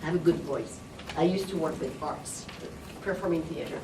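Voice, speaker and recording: A woman's voice: deep voice, moderate pace, creaky. A woman with a French Canadian accent, French her first language, giving a friendly, self-deprecating talk. She sounds distant from the mic, with a roomy echo and a constant hum of noise behind her. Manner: chatty, self-deprecating, searches for words. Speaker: presenter. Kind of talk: conference presentation. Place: conference room. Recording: echoey hall, distant mic, audience noise.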